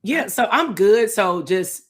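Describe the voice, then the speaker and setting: A woman, hosting a live stream chat with a hoarse, raspy voice, talking casually to viewers. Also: medium pitch, slow. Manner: relaxed, talks straight to viewers as y'all. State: hoarse, losing her voice. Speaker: psychologist and host.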